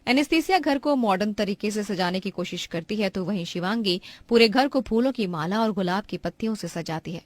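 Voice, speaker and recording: A woman's voice: mid-range voice, expressive, a little fast, hardly any pauses, no echo. A woman giving scripted narration for a cartoon family story.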